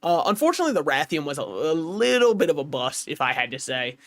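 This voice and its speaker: A young man streaming a game from his apartment, chatting casually in a rambling, self-mocking way with a booming voice. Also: sing-song, quick pace, dry recording. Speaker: vtuber streamer. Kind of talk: casual live-stream chat while gaming. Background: faint game music.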